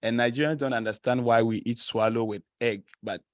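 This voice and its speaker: A man's voice: mid-range voice, fairly even intonation, fairly quick, dry recording, clean studio sound. A young man from Ghana with a Ghanaian accent, giving an informal student presentation to an audience, chatty, laughing often and using many fillers. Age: young adult.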